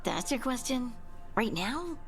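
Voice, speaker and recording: A woman's voice: on the high side, sing-song, unhurried, faint music behind. A woman voicing a determined, caring dwarf scout in a video game. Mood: reassuring, determined.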